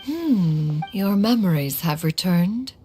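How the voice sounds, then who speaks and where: A woman's voice: on the low side, expressive, slow pace, warm tone. A woman playing a wise, composed, aloof crane adept in a video game, speaking in a formal, archaic manner. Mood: solemn, sympathetic. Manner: formal, archaic, refers to herself as one. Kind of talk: scripted video game dialogue. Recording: game music behind the voice.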